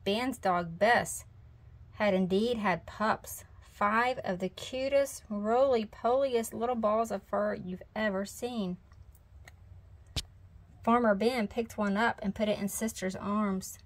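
A woman's voice: medium pitch, slow pace. Woman reading a children's storybook. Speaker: storybook reader. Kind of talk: children's read-aloud narration.

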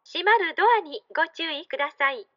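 A woman's voice: very high voice, expressive, brisk. A woman making formal station announcements, caught in a dull, noisy recording.

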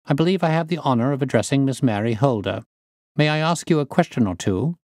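A man voicing a composed gentleman detective in an audiobook, courteous, precise and probing. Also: medium pitch, animated, fast talker, no room sound, studio quality, pitched above the performer's own voice, lightly acted. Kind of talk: audiobook character reading.

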